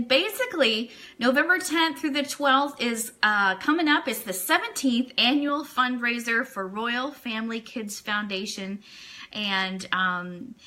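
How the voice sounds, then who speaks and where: A woman's voice: a little high, bright, forceful tone. A woman hosting a casual live-stream fan news show, chatting informally and enthusiastically straight to her viewers. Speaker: radio network show host.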